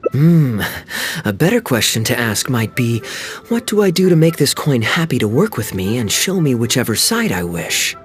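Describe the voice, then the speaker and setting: An actor playing a sly, charming young knight and playful schemer in a video game cutscene, speaking in a smooth, teasing, knowing way. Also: male voice, mid-range voice, natural voice. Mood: amused. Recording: faint game music behind.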